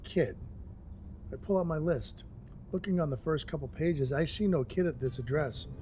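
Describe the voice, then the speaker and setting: An actor playing a sardonic dwarf who works as Santa's enforcer, telling his story in a wry, mock-polite deadpan for an audio drama. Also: fairly high pitch, moderate pace, a little hiss or hum, lightly acted. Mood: dismissive. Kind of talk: first-person audio drama narration with dialogue. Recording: music behind the voice. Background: music.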